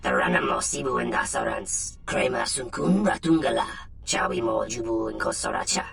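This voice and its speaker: A gravelly alien creature for a video game. The delivery is wildly animated and thick with growl, set against loud music. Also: male voice, medium pitch, normal speed, heavily acted. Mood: forceful, alien. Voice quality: heavily gravelly. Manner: animated, swooping. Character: gravelly alien creature speaking invented tongue.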